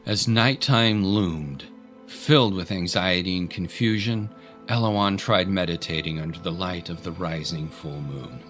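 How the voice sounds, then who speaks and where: A man's voice: low-pitched, unhurried, fairly bright tone. A man narrating a scripted audio drama as a dramatic storyteller. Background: music.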